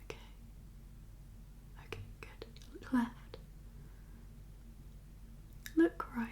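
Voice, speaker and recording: Slow, whispering woman in gentle ASMR roleplay. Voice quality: breathy whisper. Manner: gentle, reassuring, softly instructing. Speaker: ASMR performer playing a doctor.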